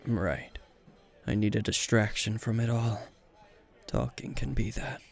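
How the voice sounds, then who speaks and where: A man playing a weary, gruff veteran hero and teacher at a bar, terse and dry, in an intimate roleplay spoken to the listener. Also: on the low side, expressive, measured pace. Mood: apologetic, subdued. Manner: terse, guarded, dry, sighing.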